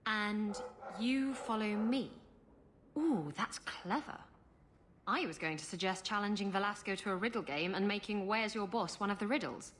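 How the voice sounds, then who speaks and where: A woman's voice: mid-range voice, moderate pace, a bit bright, lightly acted. An actress playing a roguish, scheming adventurer woman in a video game, with a playful, theatrical manner.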